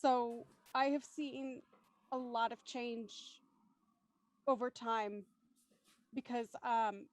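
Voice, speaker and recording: A woman's voice: a little high, fairly even intonation, extremely slow, pauses often. A woman speaking as a panelist in a discussion, articulate and reflective, setting out considered thoughts.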